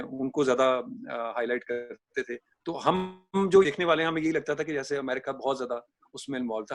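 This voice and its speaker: A Pakistani man explaining film history in conversation, deliberate and halting, pausing mid-thought. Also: mid-range voice, no echo. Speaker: discussion co-host.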